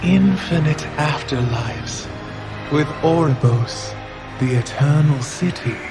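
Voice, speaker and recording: An actor playing a solemn otherworldly narrator in a game cinematic, grave, measured and portentous. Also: male voice, fairly high pitch. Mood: solemn, foreboding. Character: solemn otherworldly male narrator of cosmic lore. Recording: loud music under the voice.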